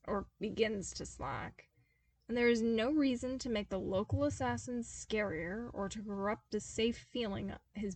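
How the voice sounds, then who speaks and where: A woman reading a fan fiction story aloud as a podfic, with an expressive delivery that bursts into exasperated comic outbursts. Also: on the high side, rough recording. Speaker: podfic reader.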